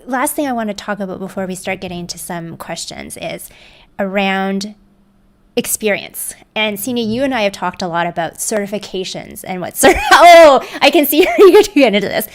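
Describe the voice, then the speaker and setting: A woman's voice: a little high, animated, normal speed. A woman hosting a live panel discussion, conversational and affirming, with frequent "um" and "mm-hmm". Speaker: host.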